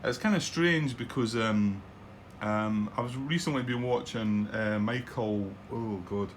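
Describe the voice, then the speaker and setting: A man's voice: lowish voice, unhurried, a little hiss or hum. A middle-aged man with a Scottish accent hosts casual commentary on a solo board wargame from his home desk, rambling and hesitant with lots of ums and restarts. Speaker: board wargame channel host.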